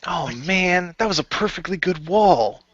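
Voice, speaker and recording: A man's voice: high-pitched, expressive, unhurried, a bit bright, no room sound, muffled recording. A man playing casually in an improvised radio play, switching between his character and narration.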